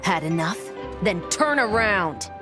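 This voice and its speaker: An actress playing a confident, taunting fighter in an anime fantasy game, bold and energetic. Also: female voice, mid-range voice, takes it slowly, over music. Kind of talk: video game voice acting.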